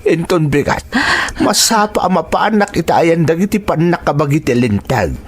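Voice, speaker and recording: An actor with an Ilocano accent playing a troubled, protective elderly father in a radio drama, with a husky voice. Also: on the high side, animated, moderate pace. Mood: grim, resolute. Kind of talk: Filipino radio drama acting.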